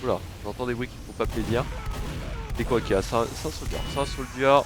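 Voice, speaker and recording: A young man with a cold and a stuffed-up nose, casually commentating his game, chatty and cursing, with sudden excited yelps. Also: medium pitch, fast, over music. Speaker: gaming YouTuber.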